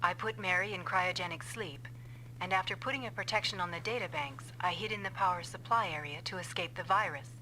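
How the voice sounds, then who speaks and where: An actress playing a vengeful female scientist in an anime-style game dub, explaining coldly and deliberately. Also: lowish voice, bright, forceful tone, a little hiss or hum, low-quality recording. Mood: vengeful resolve. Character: vengeful female scientist confessing a revenge plot.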